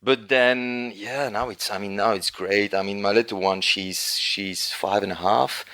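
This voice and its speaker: Guest spot: a French-accented man, lowish pitch, easygoing chatter full of restarts and "right?". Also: fairly even intonation, unhurried, bright, forceful tone. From Congo. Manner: loose and chatty, many restarts and "right?" tags. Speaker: guest consultant. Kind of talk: casual podcast interview.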